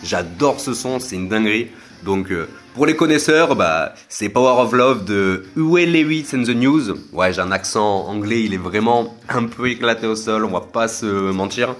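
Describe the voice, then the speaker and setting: Rambling podcaster, lowish voice. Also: male voice, expressive, moderate pace, soft background music. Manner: rambling, many fillers and restarts. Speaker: podcast host. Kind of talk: casual solo podcast monologue.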